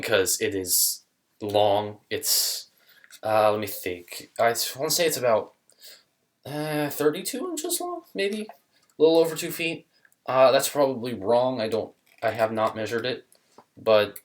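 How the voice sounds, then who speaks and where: A young man talking casually to camera in a small room, thinking aloud with many fillers and restarts. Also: on the low side. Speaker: musician demonstrating an instrument.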